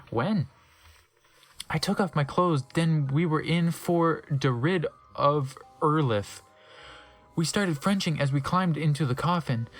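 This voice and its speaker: A man doing a comedic dramatic reading as narrator, mock-serious and breaking off into incredulous asides. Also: a little high.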